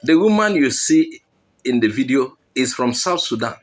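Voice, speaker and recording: A man's voice: mid-range voice, sing-song, normal speed. Guy hosting his channel, voice jumps up and down a lot, earnest, keeps talking right to the viewers. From Nigeria. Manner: earnest, appealing directly to viewers. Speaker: channel host. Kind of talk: commentary to viewers.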